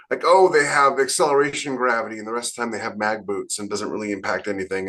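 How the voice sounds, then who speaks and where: A man's voice: fairly low pitch, a bit bright. A man co-hosting a podcast, explaining his views casually and emphatically with wry asides. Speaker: podcast co-host and science fiction author. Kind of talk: casual opinionated panel chat.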